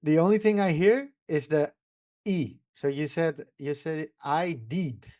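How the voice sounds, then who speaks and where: Fairly high-voiced man. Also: unhurried, darkish tone. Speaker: language teacher, host. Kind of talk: casual chat.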